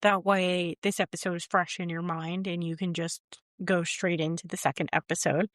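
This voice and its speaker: A woman's voice: lowish voice, level tone, normal speed, dry recording. Woman cohosting a podcast, rambly and unsure, laughs a lot, dry close mic. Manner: rambling, hesitant, laughs often. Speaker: podcast co-host. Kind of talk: casual chat.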